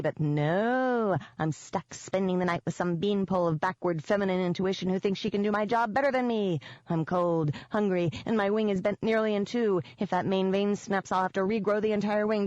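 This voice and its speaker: A woman's voice: mid-range voice, very animated, slow pace, no echo, highs cut off, voice pushed up. A woman voicing a tiny, grumpy, sarcastic male pixie sidekick in an audiobook, snappy and complaining. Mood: irritated, griping. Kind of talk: audiobook character voice.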